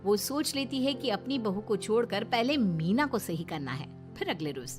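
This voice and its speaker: A woman's voice: medium pitch, animated, moderate pace. Female narrator for scripted moral tales, middle register, music bed underneath. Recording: music behind the voice.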